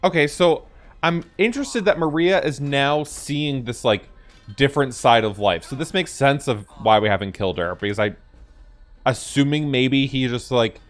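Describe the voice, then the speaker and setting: A man's voice: mid-range voice, moderate pace, soft background music. A man giving casual game reaction commentary, thinking aloud and talking back to the characters. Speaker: gaming video host reacting to cutscenes.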